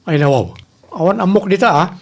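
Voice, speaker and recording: Woman acting a low, lively, evasive Ilocano boyfriend. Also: a little fast. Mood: defensive. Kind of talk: audio comedy-drama acting.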